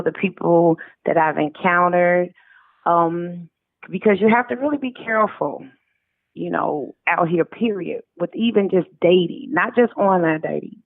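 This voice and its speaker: A woman's voice: lowish voice, dry recording. A middle-aged woman, a guest author, answering interview questions conversationally over a phone-like connection. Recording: thin, narrow phone-like line.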